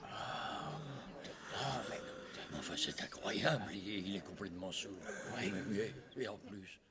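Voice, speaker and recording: A flustered cartoon clerk, low-voiced and slow. He mutters hesitantly while a crowd murmurs behind. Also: lively intonation. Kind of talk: French cartoon dubbing.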